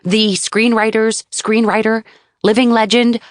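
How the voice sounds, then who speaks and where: An eager, incredulous young woman screenwriter as a female narrator plays her speaks in punchy, emphatic phrases. The pitch is lifted, and the audiobook sound is dry. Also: flat delivery, unhurried, dry recording, close to an everyday voice. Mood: stunned, awed.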